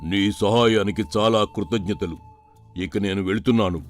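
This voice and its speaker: A man's voice: lowish voice, steady tone, moderate pace, soft background music, natural voice. A man playing a weary, repentant langur-monkey ghost in a children's moral story, sighing and plaintive yet grateful.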